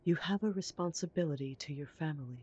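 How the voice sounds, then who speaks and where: A woman acts out a scornful young werewolf heiress. Her voice is husky and breathy. She talks primly and cuttingly, in audio roleplay style. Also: sits low, quick pace, a little mellow, dull audio. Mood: scornful, accusing. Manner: prim, disdainful, cutting. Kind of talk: audio roleplay drama acting.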